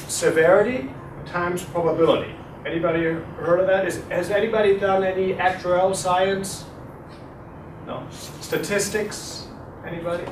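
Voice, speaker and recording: Middle-aged German-accented lecturer, hesitant and chatty, recorded from afar in a noisy room. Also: male voice, sits high. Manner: relaxed, hesitant with many fillers, quizzes audience. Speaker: guest lecturer. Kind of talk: university talk with audience questions. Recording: echoey room, distant, noisy.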